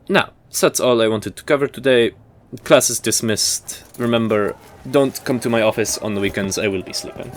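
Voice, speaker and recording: Man acting a low-voiced, dry history professor. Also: slight background noise. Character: dry, reserved male history professor in fantasy university. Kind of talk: lecture in audio drama.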